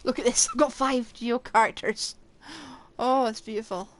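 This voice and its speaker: A woman's voice: fairly high pitch, fairly even intonation, normal speed, a little hiss or hum. A woman doing live gameplay commentary, thinking aloud with blunt remarks. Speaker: game streamer.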